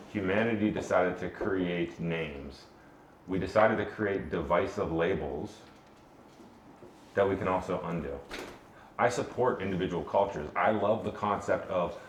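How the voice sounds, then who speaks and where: A man's voice: low voice, a little hiss or hum. An American man answering interview questions, earnest and emphatic, posing rhetorical questions and answering them himself. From United States.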